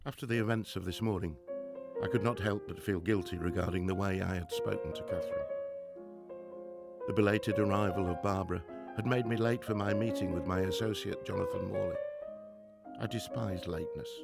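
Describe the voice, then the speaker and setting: Low, stern 1920s professor, acted by a man. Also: fairly even intonation, brisk, creaky. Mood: sombre, reflective. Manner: formal, precise, clipped scolding. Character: stern, driven professor, widowed father, 1920s. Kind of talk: radio drama acting, diary narration. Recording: music under the voice.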